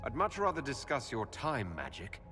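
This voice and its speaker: An actor playing a firm, composed hero in a fantasy game, speaking directly and with assurance. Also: male voice, mid-range voice, huge pitch swings, moderate pace. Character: firm, composed male hero leading the Inquisition. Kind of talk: dramatic fantasy game acting. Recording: long reverb, faint music behind.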